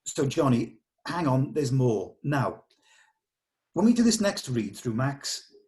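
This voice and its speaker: A man's voice: mid-range voice, big pitch swings, takes it slowly, darkish tone. A man giving actors directions during a script read-through, firm and plain-spoken in the way he puts things.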